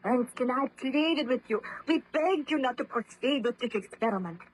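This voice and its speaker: An actress playing an impassioned, reproachful young fiancee in a melodramatic, formal 1930s radio drama style. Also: female voice, high-pitched, lively intonation, moderate pace, breathy edge. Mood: bitterly accusing. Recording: muffled old radio drama recording.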